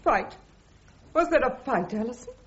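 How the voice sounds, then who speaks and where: An actress playing an anxious, guarded officer's wife in a radio drama. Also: female voice, a little high, expressive, normal speed, husky. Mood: guarded, tense. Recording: dull lo-fi radio drama recording.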